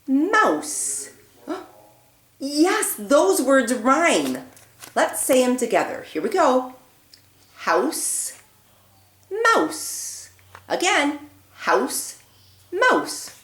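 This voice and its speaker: Slow, sing-song teacher woman. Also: a little high, echoey room. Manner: sing-song praise to young children, slow exaggerated words. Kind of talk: early-childhood rhyming lesson.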